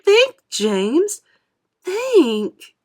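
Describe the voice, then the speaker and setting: A fearful lady spider from a children's storybook, acted by a woman, dramatic and pleading. Her voice sits high, with wide, expressive swings. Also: very slow, full character voice. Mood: begging. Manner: urgent, pleading. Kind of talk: storybook character voice in a read-aloud.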